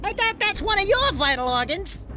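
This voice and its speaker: A snarky, squeaky furry sidekick. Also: male voice, sing-song, normal speed, background noise. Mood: sarcastic. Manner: sarcastic, mocking quips. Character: wisecracking small furry male animal sidekick. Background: game music.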